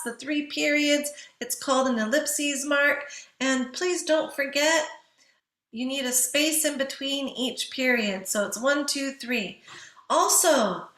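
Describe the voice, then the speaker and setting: High-voiced woman teaching, echoey room. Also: a bit bright. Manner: didactic, poses questions to listeners and answers them. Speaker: writing instructor. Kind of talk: instructional lecture on writing.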